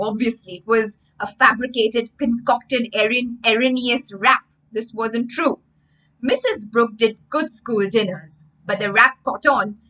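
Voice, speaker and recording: A young woman, telling a story to children as a livestream English lesson, theatrical and emphatic, pausing to explain words. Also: fairly high pitch, sharp tone, rough recording. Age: young adult. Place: indoor room with bookshelves.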